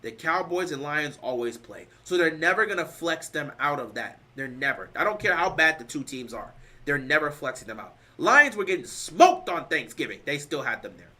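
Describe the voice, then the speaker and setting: A man's voice: fairly high pitch, big pitch swings, moderate pace, hardly any pauses. Tired, emphatic man talking football. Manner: emphatic, repeats points, rising to loud stresses. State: tired, losing his voice. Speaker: sports show host. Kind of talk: casual sports talk, answering live chat.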